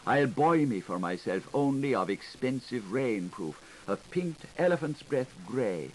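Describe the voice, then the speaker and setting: A man's voice: medium pitch, sing-song, a little slow, darkish tone. A man voicing a coquettish young girl, noisy tape. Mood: sweet, confiding. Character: coquettish, gushing young Irish girl writing love letters. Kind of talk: audiobook character reading of literary fiction. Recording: hissy 1980s audiobook recording.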